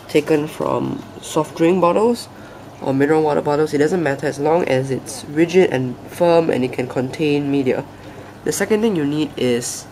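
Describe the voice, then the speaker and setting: Soft, croaky young man explaining a build. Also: fairly high pitch, a little hiss or hum, poor audio. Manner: soft-spoken, hesitant with restarts. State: croaky, soft voice after a flu. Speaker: aquarium hobby channel host. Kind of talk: casual tutorial narration. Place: indoors at a table.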